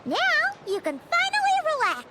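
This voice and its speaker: A woman voicing a tiny, cheerful, chatty childlike companion with a squeaky voice in a video game. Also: huge pitch swings, normal speed, very noisy, full character voice. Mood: chirpy. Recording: game audio with loud music behind.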